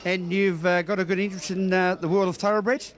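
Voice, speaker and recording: A man's voice: sits high, level tone, fast. An Australian man presenting and interviewing for local TV news, with florid, wordy phrasing.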